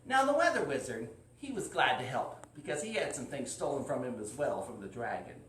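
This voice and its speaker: A man's voice: mid-range voice, sing-song, fairly quick, a bit bright. A man hosting a live children's story time, informal and drawing out words for young listeners. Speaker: library storyteller and host. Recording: roomy, distant mic, faint noise.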